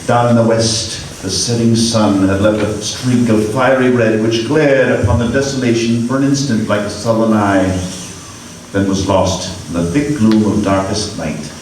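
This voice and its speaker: A man narrating a classic story in a measured, expressive live radio-play reading. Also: on the low side, far from the mic, a little hiss or hum. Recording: echoey live stage from afar.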